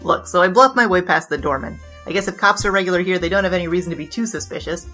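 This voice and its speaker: A woman's voice: lowish voice, fast talker, bright, forceful tone. A woman playing a glib, flirtatious bandit who confesses and argues in a comedic noir audio drama. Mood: brisk storytelling. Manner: glib, digressive, argumentative. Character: brash, chatty, flirtatious female bandit king confessing her crimes. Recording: music bed under the voice.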